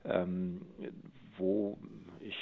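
A man's voice: low voice, little pitch movement, very slow. A man talking casually in an interview as a voice actor, explaining things thoughtfully and in detail. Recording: tinny, phone-like narrow line.

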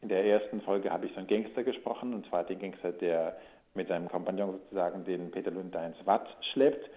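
A man's voice: sits low, slightly rough. A man giving casual interview answers in a searching way, often restarting and rephrasing. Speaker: audio drama producer and voice-over speaker. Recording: thin phone-like line.

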